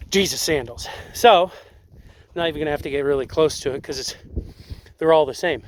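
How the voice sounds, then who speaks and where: A young man with a slightly raspy, congested voice, casually explaining and demonstrating outdoors on a sandy riverbank, rambling with lots of fillers. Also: fairly high pitch, a little hiss or hum. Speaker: tracking instructor.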